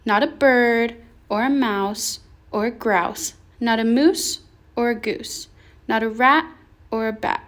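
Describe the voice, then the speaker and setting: A woman's voice: fairly high pitch, slow, a bit bright. A woman voicing a stern mother cat who lectures firmly in a children's storybook. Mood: scolding. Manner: lecturing, firm.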